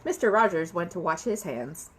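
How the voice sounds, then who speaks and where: A woman reading a children's story aloud in an expressive storytelling style. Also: fairly low pitch, normal speed, slight background noise, low-quality recording. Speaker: storybook reader.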